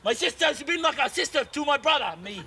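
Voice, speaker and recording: A man's voice: extremely high pitch, expressive, fast talker, creaky, a crowd in the background, exaggerated voice. An actor playing a dim, aggressive, overprotective young man who rants in muddled repetitions in live sketch comedy. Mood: threatening, indignant. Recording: noisy live audience recording.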